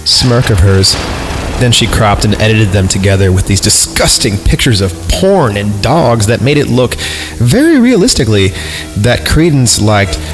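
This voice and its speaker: Deep-voiced man reading with irreverent asides. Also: unhurried, rough recording. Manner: irreverent, sarcastic. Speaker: podcast host reading horror stories. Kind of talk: story reading with casual ad-libbed asides. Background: background music.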